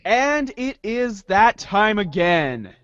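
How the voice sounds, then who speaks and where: A male host signs off an amateur show with playful theatricality. The voice is high for a man, casual in delivery, and captured dry with a slightly dull, lo-fi sound. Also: very animated, slow pace, fairly bright tone, dry recording. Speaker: host and cast member. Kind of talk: casual podcast sign-off.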